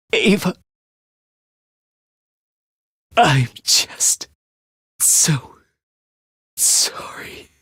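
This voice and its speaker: A man's voice: high voice, fairly bright tone, no echo, clean studio sound, full character voice. A male actor voicing a meek, anxious, self-loathing young man fighter in an anime-style video game, stammering and apologetic. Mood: hushed remorse.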